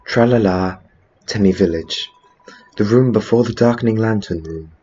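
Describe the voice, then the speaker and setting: A man's voice: on the low side, moderate pace, warm tone, lo-fi sound, a little hiss or hum, lightly acted. A man voicing a cryptic, placid river ferry spirit in a video game fan dub, terse and singsong.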